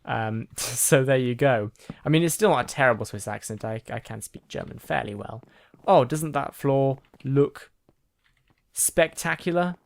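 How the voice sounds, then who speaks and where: A man talks his viewers through a building game in a loose, chatty way, full of ums and half-finished sentences. His voice sits at an ordinary pitch. The recording is close and dry, with no room sound. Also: a little slow, creaky, dry recording. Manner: chatty, rambling, with frequent ums and restarts. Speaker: gaming channel host. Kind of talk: casual gameplay commentary.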